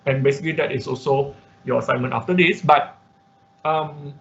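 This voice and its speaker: A man's voice: medium pitch, fairly even intonation, normal speed, creaky. A man giving an informal step-by-step tutorial as an online class instructor, often checking in with short tag words. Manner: step-by-step explaining with frequent check words like okay and right. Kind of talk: informal screen-share tutorial. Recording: dull, lo-fi online-class audio.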